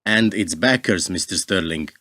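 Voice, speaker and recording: An actor playing a suave, suspicious ambassador in a radio play, with a formal, measured, pointed manner. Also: male voice, low voice, bright, forceful tone, dry recording, studio quality.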